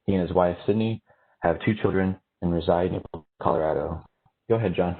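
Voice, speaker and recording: A man's voice: deep voice, brisk. A man acting as event moderator, reading out a speaker's biography as an introduction. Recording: tinny phone-like line.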